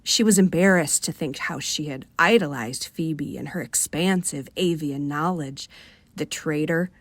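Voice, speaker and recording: A woman with a Rochester, New York accent reading a short story for radio in an expressive, wry manner. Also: low voice, unhurried, no echo. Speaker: author reading aloud.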